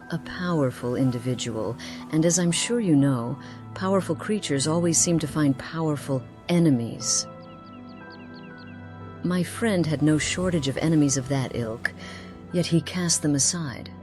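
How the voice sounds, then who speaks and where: A woman playing a composed, formal noblewoman haunted by loss in a fantasy game, with deliberate, archaic phrasing. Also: low voice. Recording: faint music behind.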